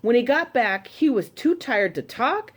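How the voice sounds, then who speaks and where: A woman telling a children's story to a congregation, expressive and animated. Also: a little high, a little slow. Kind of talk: reading a children's story aloud.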